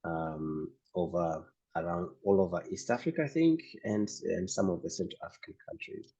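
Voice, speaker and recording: A man whose first language is Swahili, interviewing a guest with halting, searching questions. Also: sits low, mellow, slightly rough. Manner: halting, searching for words, many restarts. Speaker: interviewer, host. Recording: slightly dull lo-fi sound.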